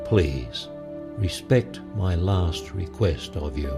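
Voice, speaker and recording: A very old, weary grandfather and president, acted by a man in an audio drama. He speaks slowly and solemnly with a widely moving pitch, pleading gently. Music plays underneath. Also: expressive, gravelly, exaggerated voice.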